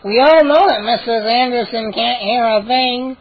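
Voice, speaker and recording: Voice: medium pitch, moderate pace, husky, voice pushed up. A reader voicing a gleeful, mischievous male gnome who taunts the children, in a lo-fi audiobook recording. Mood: taunting. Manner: taunting, mocking grin in the voice.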